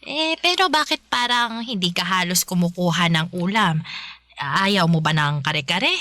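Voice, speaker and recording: A woman's voice: fairly low pitch, expressive, moderate pace, pushed and bright. An actress playing a kindly, anxious middle-aged mother who fusses and apologizes in a Filipino radio drama. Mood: fussing, warm.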